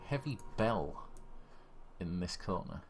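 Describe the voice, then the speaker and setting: Low-voiced man narrating a tabletop game. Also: expressive, slow pace, music in the background. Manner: descriptive, conversational storytelling. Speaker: game master.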